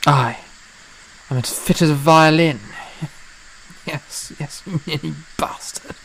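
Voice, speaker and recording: Casting: a woman as irritable drunken young man, low and slow, blustering audio-drama delivery with big swings. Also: slight background noise, poor audio. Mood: drunken, brash. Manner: blustering, exclamatory, groaning.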